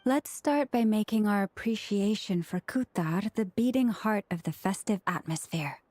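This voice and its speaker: An actress playing a calm, gracious young woman in a video game, speaking with measured, polite formality. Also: medium pitch, dry recording, lightly acted. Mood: calm, thoughtful. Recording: music behind the voice.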